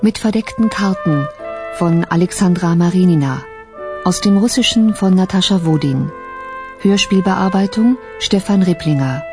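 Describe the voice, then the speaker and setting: A woman formally and deliberately reading out scripted credits for a radio drama. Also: lowish voice. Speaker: announcer of title and cast. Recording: music with brass behind.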